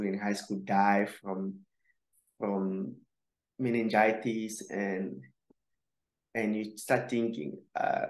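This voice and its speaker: Hesitant man from Cameroon, low voice. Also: echoey room, low-quality recording. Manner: halting, many fillers and restarts. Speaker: guest scientist. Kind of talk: casual interview answers.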